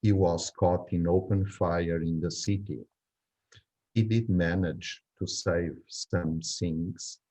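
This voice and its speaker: Deep, slow, mellow older man. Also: poor audio. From Angola. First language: Portuguese. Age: 60s. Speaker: guest reading from his own story. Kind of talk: reading a memoir aloud.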